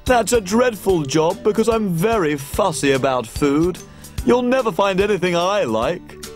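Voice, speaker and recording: A woman's voice: on the low side, animated, measured pace, a little mellow, soft background music, voice pushed down. A woman voicing a fussy storybook queen with a prim, complaining way of talking. She is part of a children's television story.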